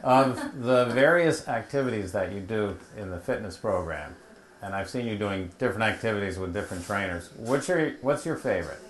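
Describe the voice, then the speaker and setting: A man's voice: lowish voice, lively intonation, moderate pace. A man serving as a care home's executive director, explaining a fitness research program with frequent "uh" fillers. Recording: echoey room.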